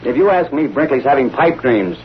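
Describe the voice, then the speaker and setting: Lively wisecracking reporter played by a man, old radio. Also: medium pitch, brisk, close to an everyday voice. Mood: frustrated. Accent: American. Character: wisecracking, eager young male newspaper reporter. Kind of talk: 1930s American radio drama acting. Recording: muffled, noisy vintage radio recording.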